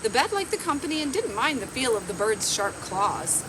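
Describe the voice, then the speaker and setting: Higher-voiced woman reading a storybook outdoors. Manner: measured, expressive storytelling. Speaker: library storytime reader. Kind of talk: reading a picture book aloud. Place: outdoors on a garden bench. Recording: outdoor background noise with insects.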